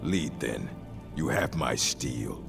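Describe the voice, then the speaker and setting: A man playing a gruff, proud orc warrior in a fantasy video game, gravelly and blunt, speaking in solemn, terse lines. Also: extremely low pitch, lively intonation, takes it slowly, a bit bright, exaggerated voice. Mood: solemn, loyal. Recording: game music behind the voice.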